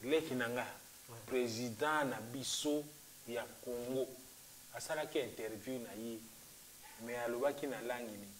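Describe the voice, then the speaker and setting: A man's voice: mid-range voice, moderate pace, a little hiss or hum, rough recording. A man hosting a discussion, jumping in with questions and stumbling over his first words. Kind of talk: moderating a discussion.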